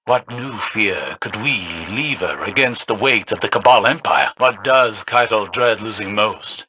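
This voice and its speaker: An actor playing a cryptic, slyly menacing sorcerer-strategist in a video game, speaking in a measured, insinuating way. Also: male voice, lowish voice, pushed and bright, a little hiss or hum. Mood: brooding, calculating.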